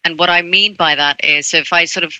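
A woman's voice: fairly low pitch, steady tone, a little fast, pushed and bright, dry recording. A woman from Hong Kong, a diversity and inclusion advocate, telling her story earnestly in a panel interview, often adding "right?". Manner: earnest, frequent "right?" and "look".

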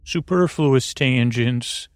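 A man with a creaky voice hosting a sleep podcast, rambling in a soothing, halting way and stammering over repeated words. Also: mid-range voice, no room sound, studio quality, soft background music. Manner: soothing, lulling. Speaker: podcast host. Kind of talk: rambling bedtime monologue to lull listeners to sleep.

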